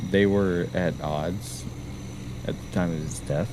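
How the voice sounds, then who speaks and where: A man's voice: low voice, a little slow. A man from the Boston area, chatting casually while gaming, filling his talk with "like" and explaining at length. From Boston area, USA. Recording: steady background noise.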